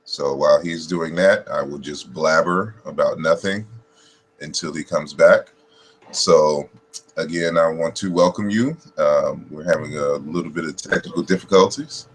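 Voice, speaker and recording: A man's voice: a bass voice, measured pace, slight background noise. A man hosting an online interview, talking informally with many fillers. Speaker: host.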